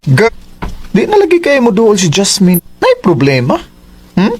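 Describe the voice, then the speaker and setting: An actor with a Cebuano accent playing a gossipy friend in a radio drama. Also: male voice, sits high, huge pitch swings, a little slow, over music, exaggerated voice. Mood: gossipy, insinuating. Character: gossipy friend breaking news of a secret romance.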